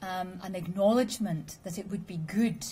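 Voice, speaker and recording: A woman giving a measured, explanatory conference presentation and answering questions with dry humor. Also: on the low side, mellow, a little hiss or hum. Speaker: conference speaker. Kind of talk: formal presentation with audience questions.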